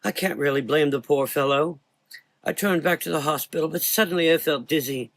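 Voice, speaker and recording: A man voicing a frightened, guilt-ridden blind old doctor in a gothic audio drama, anguished and halting as he talks. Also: fairly high pitch, a bit bright, dry recording. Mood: anguished, haunted.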